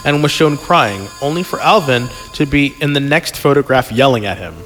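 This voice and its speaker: A man's voice: medium pitch, lively intonation, normal speed, fairly bright tone, soft background music. A man reading a story aloud on a podcast in an informal, amused way, breaking off for chatty asides.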